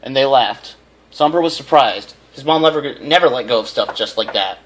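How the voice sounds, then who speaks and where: A man's voice: medium pitch, expressive, moderate pace, poor audio. A man reading fanfiction aloud as an amateur, often stumbling over phrases and repeating them. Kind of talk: reading a story aloud with character voices.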